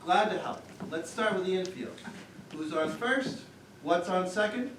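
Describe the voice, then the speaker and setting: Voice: mid-range voice, measured pace, fairly bright tone, close to an everyday voice. A performer playing a brash, wisecracking baseball manager with blunt, matter-of-fact comebacks in a stage comedy routine. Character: brash, wisecracking, unflappable small-town baseball team manager. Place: small-town theater stage. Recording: distant mic in echoey theater, faint noise.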